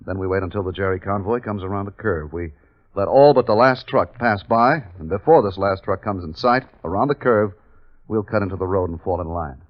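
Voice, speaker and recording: An actor playing a measured, authoritative army captain who narrates his wartime mission in a 1950s radio drama. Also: low voice, lightly acted. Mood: briskly commanding. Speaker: narrator and commanding officer. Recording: dull 1950s radio recording with music.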